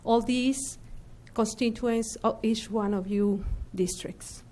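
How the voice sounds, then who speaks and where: A woman's voice: fairly high pitch, fairly even intonation, slow pace, warm tone. Woman addressing a council formally. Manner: formal, addressing officials by name. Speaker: petition organizer addressing the council. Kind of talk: formal public comment. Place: council chamber.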